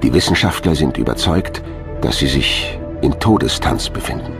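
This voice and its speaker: A man's voice: extremely low pitch, lively intonation, moderate pace, creaky. A man reading scripted narration for a science documentary as a studio voice-over. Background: background music.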